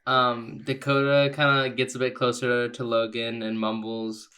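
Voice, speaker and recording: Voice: mid-range voice, level tone, unhurried, pushed and bright, smooth. A tabletop game player casually improvising a character's words and narrating what that character does.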